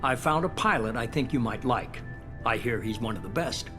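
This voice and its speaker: Video game shadowy boss, male actor: middle-aged, calculating, authoritative, measured delivery, music underneath. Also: mid-range voice, lively intonation, lightly acted. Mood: cool, commanding.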